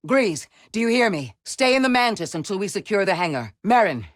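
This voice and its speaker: A woman playing a wise, resolute Jedi mentor and resistance leader in a video game, speaking in a measured, solemn, commanding way. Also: medium pitch, very animated, dry recording, studio quality, close to an everyday voice. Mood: urgent, commanding. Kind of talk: dramatic video game character acting.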